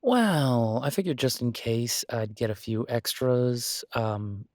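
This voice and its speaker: A man's voice: mid-range voice, slow pace, a little mellow, no echo, studio quality, close to an everyday voice. A man playing an easygoing, supportive boyfriend in an intimate one-sided roleplay audio, talking casually and reassuringly with folksy turns of phrase. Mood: easygoing, self-deprecating.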